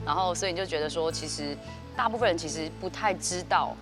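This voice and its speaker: A woman's voice: deep voice, music in the background. A woman in her thirties with a Taiwanese Mandarin accent, an artistic director explaining her project fluently in a studio interview. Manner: fluent, measured explaining with fillers. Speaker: guest, dance company artistic director. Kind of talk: interview answer. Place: indoor TV studio set.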